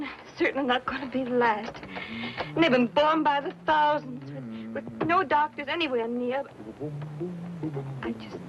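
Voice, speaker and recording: An actress playing a brave, earnest pioneer wife, gentle and halting, in a western radio drama. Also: female voice, on the high side. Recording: muffled lo-fi radio recording, noisy background.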